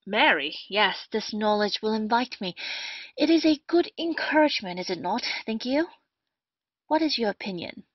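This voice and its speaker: A woman's voice: medium pitch, lively intonation, normal speed, no echo, natural voice. A woman playing a witty, cynical rake of a captain in a period comedy audio play, declaiming with mockery. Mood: mocking, bawdy. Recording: dull, dry lo-fi audio.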